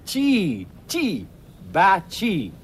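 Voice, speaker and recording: Lively kindly old Hungarian gentleman. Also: sits high, very slow, a little hiss or hum, heavily acted. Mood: jovial, teasing. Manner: hearty, chuckling, avuncular. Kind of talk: radio play acting.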